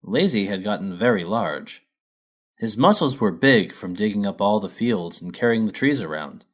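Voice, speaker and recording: A man's voice: lowish voice, expressive, moderate pace. A man reading a children's story as its narrator, in a scripted storybook style.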